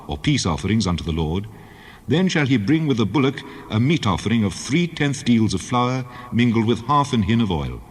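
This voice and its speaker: Man as solemn low-voiced God in vast reverb. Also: husky. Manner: solemn, formal, measured. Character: God speaking law and judgement, solemn and commanding. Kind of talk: dramatized scripture reading. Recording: long booming reverb.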